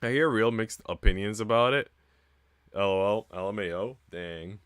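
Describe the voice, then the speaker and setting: A man's voice: on the low side, moderate pace, fairly bright tone, clean tone, no echo, studio quality. A man livestreaming in casual chat, talking in a slangy, profane, bro-ish way. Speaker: streamer. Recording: music behind the voice.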